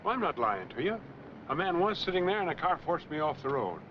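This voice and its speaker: A man's voice: a little high, sing-song, fast talker, slight background noise. A man playing a cocky, defensive truck driver in a 1940s film noir. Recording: muffled 1940s film soundtrack, music behind.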